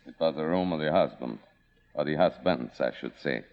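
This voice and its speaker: A man playing a grave, formal, protective manservant in a mid-century radio drama, speaking with measured deference. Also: extremely low pitch, expressive. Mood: grave, confiding. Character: solemn, formal, protective manservant to a faded star. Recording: dull vintage radio drama recording.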